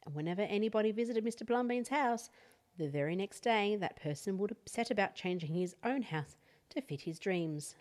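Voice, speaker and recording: A woman reading a picture book aloud as an expressive children's storyteller. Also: mid-range voice, moderate pace. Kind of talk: expressive read-aloud bedtime story narration.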